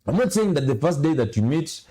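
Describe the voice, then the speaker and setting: A man's voice: on the high side, very animated, quick pace, mellow, breathy edge. A young Kenyan man with a Kenyan accent, a studio guest on a morning TV show, earnestly lecturing on relationships. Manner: earnest, lecturing, acts out little dialogues.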